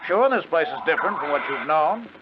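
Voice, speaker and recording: A man's voice: fairly high pitch, expressive, fairly quick, natural voice. An actor playing a confident crook who narrates his own scheme in a 1950s radio drama. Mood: breezy, assured. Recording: muffled, noisy 1950 radio recording.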